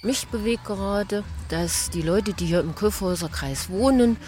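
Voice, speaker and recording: A woman, a deputy mayor giving measured, earnest answers in a radio interview. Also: medium pitch, darkish tone, soft background music.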